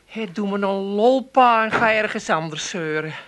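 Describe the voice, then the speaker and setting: An actress playing a sullen, stubborn daughter, curt and unimpressed, in a comic radio play. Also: female voice, medium pitch, moderate pace, fairly bright tone, natural voice.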